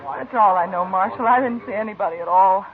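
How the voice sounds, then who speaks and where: A woman's voice: mid-range voice, quick pace, a crowd in the background, lightly acted. An actress playing a shaken, evasive Old West saloon girl in a hesitant, stammering 1950s radio drama style. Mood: anxious, insistent. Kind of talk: 1950s radio western acting. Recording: muffled, noisy 1950s radio recording.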